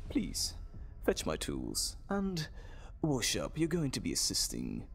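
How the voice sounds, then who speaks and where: A man's voice: medium pitch, wildly swooping pitch, slow, gravelly, heavily acted. A man playing a gleeful, sadistic mad doctor in an audio drama, genteel and theatrical, relishing every word. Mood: eager, brisk. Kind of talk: dramatic audio roleplay acting.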